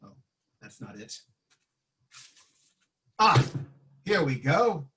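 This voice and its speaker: A man's voice: a little high, sing-song, extremely slow, background noise. A man hosting an improv comedy show, casually introducing ideas and handing out parts to players. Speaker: host of improv sketch show.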